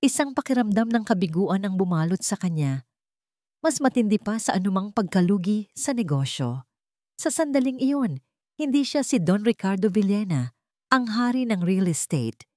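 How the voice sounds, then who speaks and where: A woman narrating a dramatic audio story in a measured, solemn way, recorded close to the mic. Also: medium pitch, very animated, mellow, no echo.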